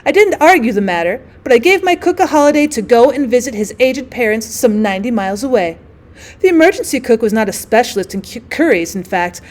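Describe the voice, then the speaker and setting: A woman's voice: fairly high pitch, animated, brisk, close to an everyday voice. A woman voicing a genteel, indignant, drily witty lady who tells her mishaps in a wordy, emphatic way for a story reading. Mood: drily haughty.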